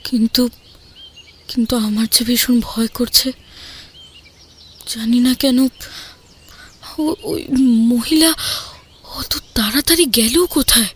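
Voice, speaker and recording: Woman acting a high-voiced, frightened young wife. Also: steady tone, breathy edge. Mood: frightened, hesitant. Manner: hesitant, halting, fearful. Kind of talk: audio story dramatic acting.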